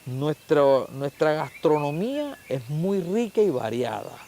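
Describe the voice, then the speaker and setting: A man's voice: on the high side, expressive, takes it slowly, dark tone, a little hiss or hum. A man from Lorica, speaking reflectively and asking rhetorical questions in an outdoor documentary interview.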